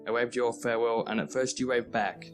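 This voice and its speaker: A man's voice: mid-range voice, steady tone, fast talker, over music, natural voice. A man playing an earnest, dutiful Australian schoolboy in a nostalgic radio play. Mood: wistful. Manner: earnest, exasperated.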